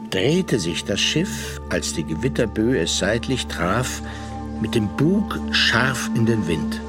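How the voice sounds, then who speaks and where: An actor playing a measured, thoughtful narrator and confidant, speaking deliberately in a literary radio drama. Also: male voice, mid-range voice.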